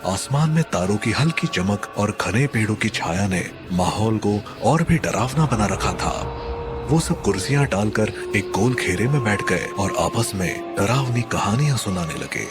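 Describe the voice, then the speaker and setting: Guy telling a scary story, low voice, lots of drama and swing, music behind. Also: lively intonation, a little slow. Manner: dramatic, suspenseful. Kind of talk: scripted horror story narration.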